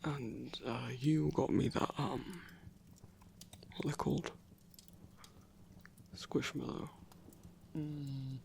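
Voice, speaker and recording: A man playing a grumpy but affectionate werewolf boyfriend in an intimate ASMR roleplay, talking in a halting, self-conscious way with a gravelly voice. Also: medium pitch, steady tone, darkish tone. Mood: bashful, fond. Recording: faint noise, older home recording.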